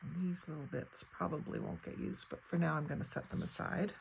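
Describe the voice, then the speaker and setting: A woman with a slightly hoarse, crackly voice, thinking aloud in a casual crafting tutorial at her table. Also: low voice, fairly even intonation. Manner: thinks aloud while working, unhurried and chatty. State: slightly hoarse, fighting off a cold. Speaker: craft channel host.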